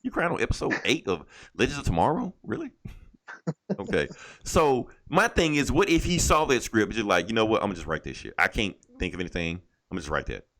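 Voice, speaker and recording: Male podcast co-host, rapid-fire and highly expressive, opinionated, foul-mouthed, casual comic-fan chat. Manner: profane, emphatic, opinionated.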